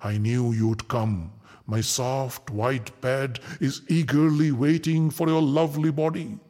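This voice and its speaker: A man voicing a lecherous, boastful male general with a slow, gloating drawl. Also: medium pitch, fairly even intonation, mellow, long reverb tail, natural voice. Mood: leering.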